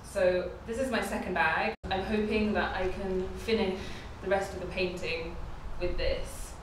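A woman's voice: mid-range voice, fairly even intonation, a little slow. Young woman in an echoey barn. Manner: chatty, thinking aloud while working. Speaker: DIY renovation vlogger. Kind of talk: casual vlog narration. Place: large empty brick barn, indoors. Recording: echoey barn, mic set far off, faint background hiss.

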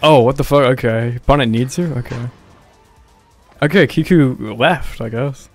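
A man's voice: mid-range voice, unhurried. A man hosts a casual gaming livestream, hesitant and mumbly, with plenty of swearing. Game music plays faintly behind him. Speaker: livestream host. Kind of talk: casual gaming stream chat.

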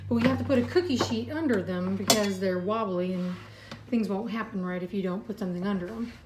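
A woman's voice: mid-range voice, brisk, a little mellow, slight background noise. A woman in her thirties, a mom hosting a casual family cooking video, patiently walking a child through each step with encouragement, recorded on a counter camera in a home kitchen. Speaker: mom and channel host. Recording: echoey kitchen with clattering dishes.

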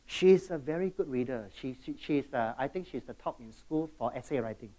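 A middle-aged man with a Singaporean English accent giving a motivational keynote, jumping between loud bursts and quiet asides and checking in with the audience. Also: medium pitch, animated, a little fast, low-quality recording. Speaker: keynote speaker.

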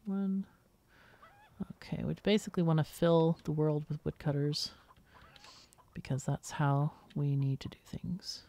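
Low-voiced woman casually commentating gameplay. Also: little pitch movement, measured pace, long pauses, warm tone. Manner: thinks aloud, explanatory. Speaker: gaming video host.

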